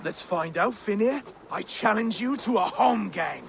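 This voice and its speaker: A man's voice: high voice, lively intonation, a little slow, vocal fry, fairly bright tone, slight background noise, heavily acted. An actor playing an earnest, mild-mannered Saxon nobleman and would-be king in a video game, polite, eager and hesitant. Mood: nervous resolve. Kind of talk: dramatic video game acting.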